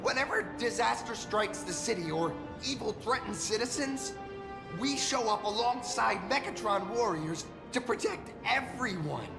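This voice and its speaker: A man's voice: very high voice, animated, moderate pace, creaky, full character voice. A man voicing an eager, earnest young hero in an anime-style game, with a gushing, easily flustered manner. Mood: earnest, polite. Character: excitable earnest young male hero-actor, starstruck fan. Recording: long reverb, music behind.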